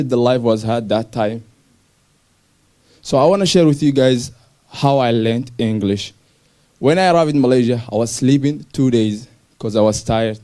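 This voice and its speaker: A young man from Somalia telling his personal story to an audience in simple, halting English with restarts. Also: medium pitch, warm tone. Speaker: speaker sharing his refugee story. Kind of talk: personal story talk on stage.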